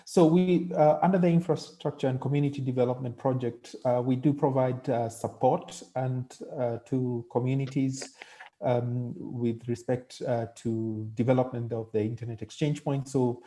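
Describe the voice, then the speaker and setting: A man explaining a program on an online panel in measured, halting phrases. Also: mid-range voice, steady tone, dark tone.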